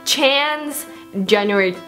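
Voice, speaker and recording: A teenage girl answering viewer questions in a casual vlog, bubbly and self-mocking, with quick asides. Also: sits high, expressive, fairly bright tone. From South Korea. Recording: music playing under the voice. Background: background music.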